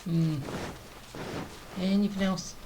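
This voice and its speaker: A woman's voice: mid-range voice, fairly even intonation, slow pace, noisy background. A woman doing casual gameplay commentary, muttering offhand remarks to herself. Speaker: gaming streamer. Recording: loud game music behind voice. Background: game music and effects.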